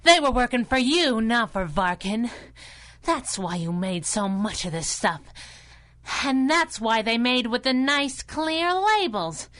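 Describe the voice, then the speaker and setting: An actress playing a sassy, tough, quick-witted crime fighter with a wry, colloquial way of talking in a radio drama. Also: female voice, fairly high pitch, expressive, fairly bright tone. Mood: defiant.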